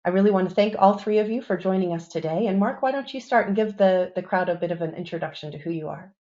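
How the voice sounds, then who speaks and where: A woman's voice: lowish voice, fast, dark tone, highs cut off. A woman moderating a corporate panel, presenting in a polished, upbeat, emphatic way.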